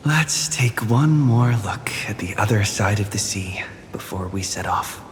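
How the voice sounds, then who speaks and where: An actor playing a calm, quiet young traveler in a game, speaking in a hushed, breathy way. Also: male voice, on the low side, slow, music in the background. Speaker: game character. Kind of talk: game cutscene voice acting. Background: game score.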